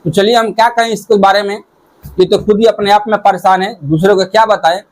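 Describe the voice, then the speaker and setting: A man's voice: high-pitched, fairly even intonation, brisk, poor audio. A man gives informal commentary to viewers, talking in a repetitive, hectoring way. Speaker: YouTube commentator.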